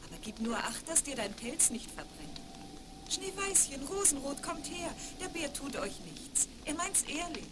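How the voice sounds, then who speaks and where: An actress playing a kindly, caring mother who gently instructs, in a children's fairy-tale radio play on a 1970s vinyl record. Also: high voice, sing-song, a little slow, heavy background noise. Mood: caring, calm.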